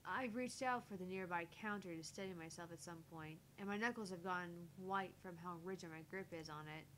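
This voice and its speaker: A woman streamer reading a visual novel aloud as theatrical first-person narration, with deliberate phrasing. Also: fairly low pitch, poor audio. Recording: loud background noise, electrical hum, clicks.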